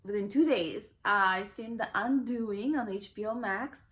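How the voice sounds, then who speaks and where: Relaxed young woman answering questions. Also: medium pitch, normal speed. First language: Kazakh and Russian. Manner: reads a question aloud, then answers in a relaxed, rambling way with fillers. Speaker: vlogger. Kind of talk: casual Q&A vlog.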